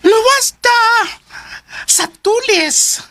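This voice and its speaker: A woman playing an excitable young employee breaking shocking news in a Bisaya radio drama, with theatrical drawn-out exclamations and a Filipino accent. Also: very high voice, very noisy, heavily acted. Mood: excited, breathless news.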